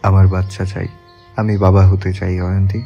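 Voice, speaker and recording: A man voicing a soft-spoken tender husband. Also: flat delivery, moderate pace, gravelly, highs cut off. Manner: soft, gentle, low-voiced. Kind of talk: romantic audio story acting. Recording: soft background music.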